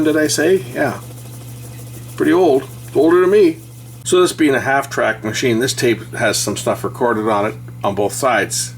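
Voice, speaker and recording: A middle-aged man giving a casual, unscripted hands-on repair commentary, thinking aloud with pauses as he works. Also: mid-range voice, creaky, a little hiss or hum. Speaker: electronics repair hobbyist.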